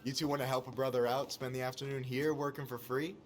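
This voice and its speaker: A man's voice: mid-range voice, flat delivery, quick pace, lightly acted. An actor playing a friendly, easygoing young male store clerk, talking casually and wryly in game dialogue. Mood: teasing. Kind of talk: naturalistic video game dialogue.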